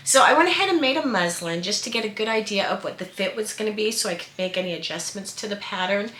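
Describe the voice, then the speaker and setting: A woman in her sixties with a stuffy nose and sore throat, chatting excitedly and casually to camera as a sewing vlogger in her sewing room. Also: mid-range voice, fast, a little hiss or hum. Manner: chatty, excitable, many fillers. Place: home sewing room, indoors. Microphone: camera at desk distance. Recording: echoey room.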